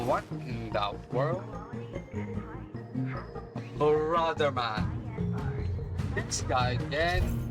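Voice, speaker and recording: A streamer with an androgynous voice reacts casually to a game. The delivery is slow, slangy and teasing, with mock scolding. Game music is clearly heard behind the voice. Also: mid-range voice.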